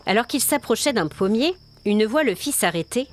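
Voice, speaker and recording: A woman's voice: fairly high pitch, expressive, normal speed, soft background music. A woman storyteller reading scripted narration for a dramatic audio tale.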